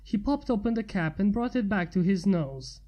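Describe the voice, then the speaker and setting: A woman's voice: mid-range voice, expressive, normal speed, dark tone. A woman reading fan fiction aloud as scripted narration.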